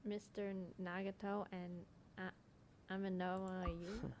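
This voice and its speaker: A woman's voice: mid-range voice, little pitch movement, takes it slowly, a little mellow, a little hiss or hum. A woman game streamer giving informal, reactive let's play commentary. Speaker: streamer playing a video game.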